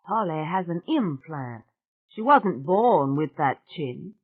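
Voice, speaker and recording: A woman voicing a quiet, wry woman who mutters low. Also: sing-song, slow pace, slightly rough, heavily acted. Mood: muttering. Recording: tinny phone-like sound.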